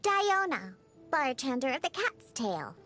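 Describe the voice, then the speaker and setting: Cutesy little cat girl from a game, grumpy but cute, really high voice that swoops around. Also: measured pace, faint music behind, heavily acted. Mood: huffy, businesslike. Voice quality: girlish, cutesy. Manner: huffy, expressive. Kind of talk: video game character voice lines.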